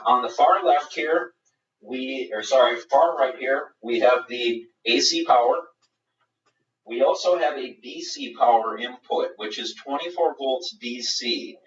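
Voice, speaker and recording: A man's voice: mid-range voice, fairly bright tone. A man giving a methodical, explanatory live webinar product demonstration. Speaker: product presenter. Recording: roomy, distant, dull webinar audio.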